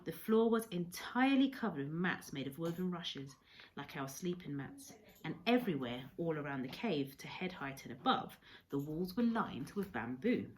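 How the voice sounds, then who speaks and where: A woman reading a storybook aloud like a teacher to pupils, in a measured, expressive storytelling manner. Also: sits low, a little hiss or hum, low-quality recording. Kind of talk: read-aloud storybook narration.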